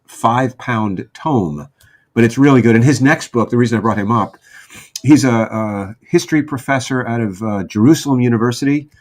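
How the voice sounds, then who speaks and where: A man talking casually as a podcast guest, with a wry, joking manner. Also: lowish voice. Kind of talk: casual group chat.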